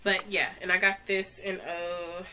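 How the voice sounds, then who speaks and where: A woman's voice: on the low side, flat delivery, a little slow, sharp tone. A young woman with a stuffy cold, chatting casually through a clothing try-on haul, rambling with lots of "like". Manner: chatty, rambling, uses "like" often. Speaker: fashion vlogger. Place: small bedroom, indoors.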